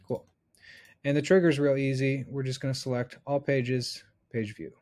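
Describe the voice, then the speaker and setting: Man, thirties, medium-pitched voice, casual instructor, screen-share tutorial, thinking aloud step by step. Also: steady tone, normal speed, darkish tone.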